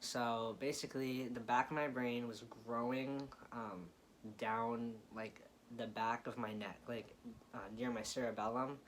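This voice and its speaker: A man's voice: mid-range voice, little pitch movement, unhurried, fairly bright tone. Young adult male vlogger, American, informal and emphatic, mid-range voice with faint room noise. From Florida, United States. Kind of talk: casual chat to viewers.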